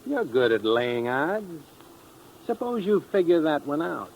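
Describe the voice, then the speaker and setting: Menacing hard-boiled radio crook. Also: male voice, on the high side, sing-song, unhurried, music in the background, poor audio. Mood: taunting, threatening. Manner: taunting, hard-boiled, slangy. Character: menacing, sardonic male blackmailer, cool tough crook. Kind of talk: 1950s radio crime acting. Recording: old radio recording, faint noise.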